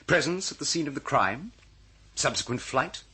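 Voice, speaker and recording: An actor playing a suave, scheming ministry official in an old radio drama, formal and condescending in manner. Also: male voice, mid-range voice, expressive, moderate pace, pushed and bright, breathy edge, exaggerated voice. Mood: smug.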